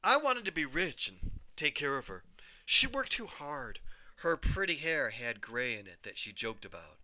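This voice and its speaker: A man reading a children's story aloud as a teacher, with expressive, dramatic storytelling. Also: medium pitch, normal speed, poor audio. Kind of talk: storybook read-aloud narration.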